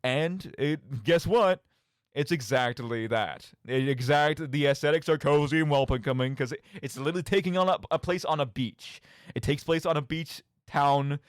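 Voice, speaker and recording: A man's voice: fairly high pitch, moderate pace, clean tone, no echo, clean studio sound. A man hosting a radio show, giving casual solo commentary on games in an emphatic, rambling way, often interrupting himself.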